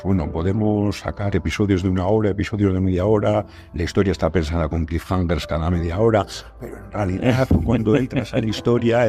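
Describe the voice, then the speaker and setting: Low voiced guy on a podcast, casual, explaining his take. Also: darkish tone. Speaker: scriptwriter guest on a podcast.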